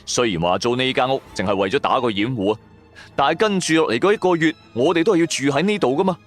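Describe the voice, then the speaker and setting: A man with a Cantonese accent narrating a story in an expressive, colloquial, dramatic scripted style. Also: mid-range voice, fast talker, faint music behind.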